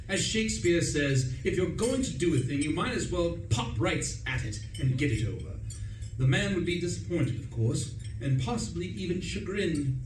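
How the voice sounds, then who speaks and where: A man acting a flustered, breezy young upper-class English gentleman who narrates a radio play in pompous, chatty old-fashioned slang. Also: fairly high pitch. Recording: roomy, distant stage recording with faint noise.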